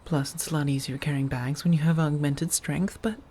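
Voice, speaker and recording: A woman playing an affectionate, teasing vampire roommate in an intimate ASMR roleplay, speaking softly and breathily to the listener. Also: low-pitched, fairly even intonation, background noise, natural voice. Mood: chipper. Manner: gently teasing, drawn-out phrasing, soft chuckles.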